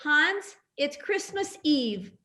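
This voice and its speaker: A woman acts out a sociable bartender in a radio-style drama. Her voice is high and very animated in pitch, and she talks in a hospitable, chatty manner. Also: drawn-out words, full character voice. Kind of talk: radio-play style readers theatre acting.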